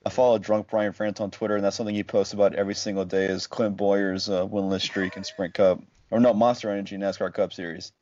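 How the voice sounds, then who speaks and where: A man's voice: on the low side, little pitch movement, fast talker, hardly any pauses, darkish tone, slight background noise. A man guesting on a podcast, matter-of-fact and teasing. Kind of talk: casual chat. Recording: dull lo-fi sound.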